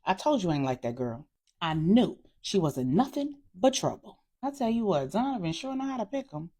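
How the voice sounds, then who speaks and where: A woman's voice: lowish voice, very animated, moderate pace, mellow. A woman voicing a feisty grown sister in an audio story, blunt, sassy and colloquial. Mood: combative, sassy. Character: feisty, combative grown younger sister, Stephanie.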